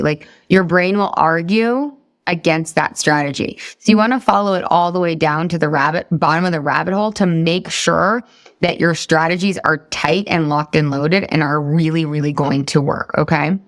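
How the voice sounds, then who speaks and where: A woman's voice: low voice, no echo, clean studio sound. A middle-aged woman from the Boston area, a weight loss coach leading a live session. She talks straight to her group and asks them questions in an upbeat way.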